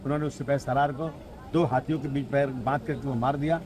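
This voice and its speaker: A man's voice: mid-range voice, fairly even intonation, fast, a crowd in the background. Argumentative man, outdoors. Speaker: interviewee.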